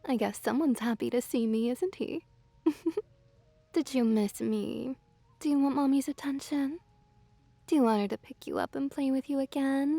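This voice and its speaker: Casting: woman as seductive female fox witch for ASMR roleplay, high mellow voice, doting yet possessive, teasing coos and soft whispers. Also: no echo. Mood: playful, teasing. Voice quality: soft, breathy whispering at times. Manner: slow, teasing, cooing, gently dominant. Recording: background music behind close, dry voice.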